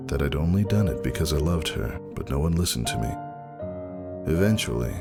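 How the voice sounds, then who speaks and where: A man narrating a dramatic first-person story in a measured, somber manner. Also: extremely low pitch, vocal fry.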